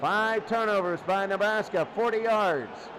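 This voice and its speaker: High-pitched male football announcer over loud noise. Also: unhurried. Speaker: play-by-play sports announcer. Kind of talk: American football radio commentary. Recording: loud steady noise behind the voice.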